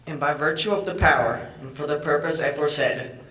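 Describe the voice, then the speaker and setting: A man's voice: a little high, fairly quick, bright, forceful tone. A young man, a student, formally reading a proclamation aloud. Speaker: student reader. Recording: echoey hall, distant mic.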